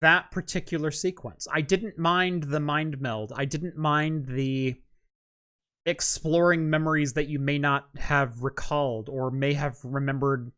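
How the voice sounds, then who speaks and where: A man's voice: a little high, unhurried, fairly bright tone, smooth. A man co-hosting a casual chat, recapping a story in a wry, joking way. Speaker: co-host.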